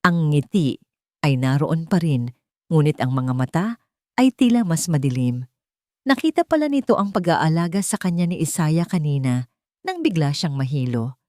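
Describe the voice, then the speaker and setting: A woman's voice: deep voice, very animated, moderate pace, darkish tone, no echo, studio quality. A woman reading a scripted romantic story as a dramatic narrator. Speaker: narrator of romance audio stories.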